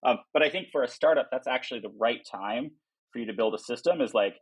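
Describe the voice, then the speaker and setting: A man's voice: medium pitch, fast talker, breathy edge, poor audio. A man speaking as an interviewed startup founder, explaining things informally and thinking aloud as he goes. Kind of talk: casual explanatory interview talk.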